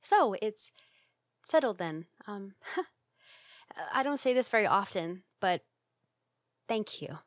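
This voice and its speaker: Woman performing young tsundere roommate, bashful, halting, secretly affectionate, ASMR roleplay, medium pitch, very dry close recording. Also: expressive, no room sound. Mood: tender. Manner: halting, flustered, breathy sighs.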